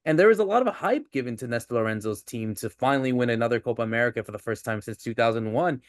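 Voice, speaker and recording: A man hosting a football podcast, introducing and questioning his guest in an upbeat, energetic way. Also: medium pitch, fast. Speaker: podcast host.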